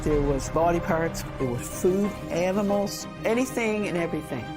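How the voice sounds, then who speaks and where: A plain-spoken woman talks as a local in a documentary interview. Her voice is a little low, and music plays clearly beneath it. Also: expressive, normal speed. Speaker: community member in a documentary. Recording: documentary clip with music underneath.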